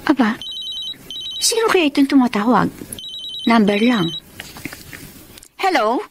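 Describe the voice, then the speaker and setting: Sassy young student, high voice, brash and blunt, radio drama. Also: female voice, big pitch swings, slow pace, noisy background, exaggerated voice. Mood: puzzled, curious. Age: young adult. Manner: brash, indignant, matter-of-fact. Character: sassy, blunt young nursing student defending her cousin. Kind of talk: Tagalog radio drama acting.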